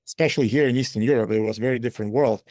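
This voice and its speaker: A man, a company founder giving measured, matter-of-fact answers as an interview guest. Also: mid-range voice, darkish tone, dry recording, clean studio sound. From Lithuania.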